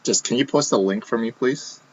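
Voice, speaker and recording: A man hosting a live card box break, talking casually while he opens packs, his voice going hoarse. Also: medium pitch, brisk, highs cut off, heavy background noise. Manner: casual, running commentary while opening packs. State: losing his voice.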